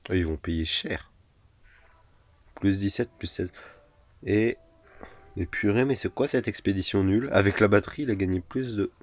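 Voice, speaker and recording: A man's voice: on the low side, normal speed, long pauses, no room sound, dull audio. A man with a cold giving chatty, self-mocking commentary over a survival video game, often repeating his phrases. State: has a cold. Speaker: gaming YouTuber. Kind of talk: casual let's play commentary.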